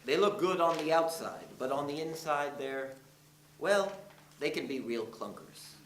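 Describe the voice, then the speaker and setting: A man's voice: a little high, animated, unhurried, a bit bright. An actor playing a cynical, wry, world-weary car salesman, narrating in a scripted staged reading. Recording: echoey theater, from off.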